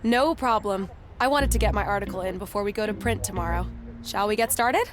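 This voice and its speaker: An actress playing a curious, composed young newspaper reporter in an adventure game, polite and clearly phrased. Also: female voice, mid-range voice, fairly quick, a crowd in the background, lightly acted. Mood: friendly, curious. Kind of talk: adventure game narration and dialogue.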